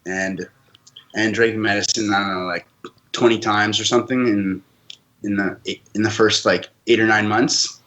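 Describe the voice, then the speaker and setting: A man's voice: on the low side, steady tone, normal speed, sharp tone, lo-fi sound. A man who grew up in Canada, a podcast guest telling his own story in an earnest, open way. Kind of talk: casual interview talk.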